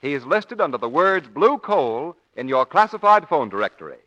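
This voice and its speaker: A male announcer delivers a 1940s radio advertisement. His voice is rather high and bright, polished and emphatic. The recording is dull with age. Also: sing-song, moderate pace. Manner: polished, emphatic sales pitch.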